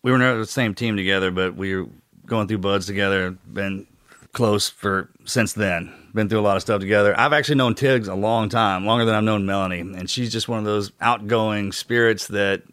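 A middle-aged man with a very deep voice speaks earnestly, pausing and restarting as he searches for words. The sound is dry and close to the mic. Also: fairly even intonation, fairly bright tone, no echo. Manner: earnest, halting, with many restarts. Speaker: podcast co-host, former Navy SEAL. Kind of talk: casual podcast introduction.

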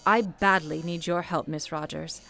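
A woman's voice: sits low, fairly even intonation, vocal fry, pushed and bright, faint music behind, full character voice. A woman playing a composed, motherly switchboard supervisor, formal and authoritative, in an old-time radio play. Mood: earnest, motherly. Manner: formal, measured, authoritative, coaxing.

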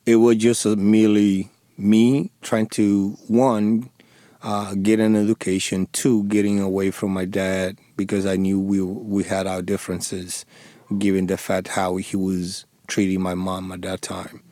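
Dominican guy w thick spanish accent, low monotone, lots of restarts, dry sound. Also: dry recording. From Dominican Republic. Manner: halting, frequent restarts and fillers, earnest. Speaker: interview guest, former police sergeant. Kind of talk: radio interview.

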